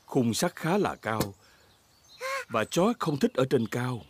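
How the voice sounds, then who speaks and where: A man playing the friendly narrator of a preschool cartoon, with expressive storytelling. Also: medium pitch, slow, heavy background noise. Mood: narrating. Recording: children's music bed behind.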